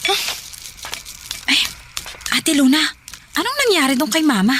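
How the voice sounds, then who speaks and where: A woman's voice: sits high, big pitch swings, measured pace, a bit bright, slight background noise, full character voice. A woman acting as a carefree, bratty eighteen-year-old younger sister in a radio drama, flippant and whiny. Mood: dismissive, teasing.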